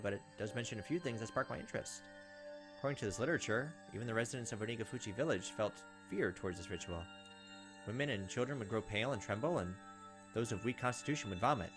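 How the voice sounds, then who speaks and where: A man reading visual novel text aloud as a let's play host, moving between narration and casual asides. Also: fairly low pitch. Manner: pauses to comment on the text.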